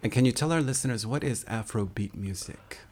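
A man hosting a podcast, asking measured interview questions. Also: medium pitch, darkish tone. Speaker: podcast host.

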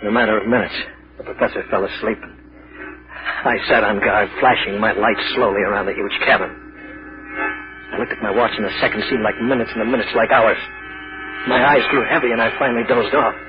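An actor playing a frightened young explorer recounting his ordeal in an old-time radio horror drama, halting and dramatic. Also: on the low side, fairly even intonation, natural voice. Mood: tense narration. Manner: dramatic, halting when afraid. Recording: muffled, noisy old radio recording.

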